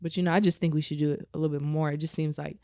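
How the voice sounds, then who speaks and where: A woman from Omaha with a naturally raspy voice, talking casually as a guest in a podcast interview with a laid-back flow. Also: low-pitched, flat delivery, racing, mellow, no room sound, studio quality. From Omaha, United States. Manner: laid-back, frequent "you know" and laughs. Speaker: guest musician.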